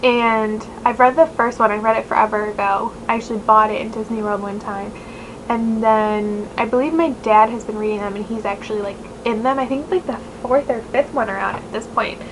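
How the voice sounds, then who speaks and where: Young woman, nasal, chatty book vlogger; higher voice, hiss on the recording. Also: fairly even intonation, brisk. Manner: chatty, rambling, many fillers. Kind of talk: casual chat to camera. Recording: noisy home recording.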